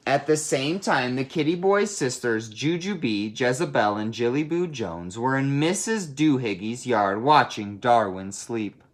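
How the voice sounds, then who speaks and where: Storybook read-aloud, teacher, very lively intonation, mid-range pitch, expressive, child-directed. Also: measured pace, few pauses, a little hiss or hum. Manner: expressive, addresses young listeners directly.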